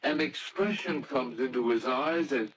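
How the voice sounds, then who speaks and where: A man narrating a scripted story in a theatrical, deliberate old-time radio style. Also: mid-range voice, fairly bright tone, slight background noise.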